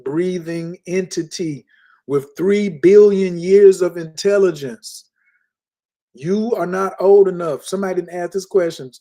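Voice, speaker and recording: High-voiced preaching man. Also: dark tone. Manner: emphatic, lecturing, repeats key words slowly. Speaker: spiritual teacher. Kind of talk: casual podcast talk, preaching.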